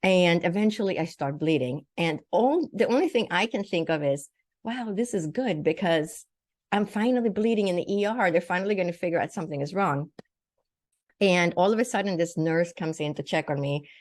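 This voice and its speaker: An older woman who grew up in Sweden recounts a personal experience in an interview. Her voice sits a little low, and the sound is dry, with no room echo. She tells things step by step in vivid detail, acting out what people said. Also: lively intonation, a little fast, a little mellow. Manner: vivid, detailed telling with acted-out quotes. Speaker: guest, naturopathic doctor and spiritual teacher.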